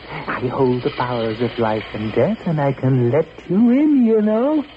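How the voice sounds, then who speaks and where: A man playing a deranged lighthouse keeper in a 1950 radio horror play, crooning gleefully menacing, drawn-out taunts. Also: mid-range voice, expressive, natural voice. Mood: crazed taunting. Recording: muffled, noisy vintage radio recording.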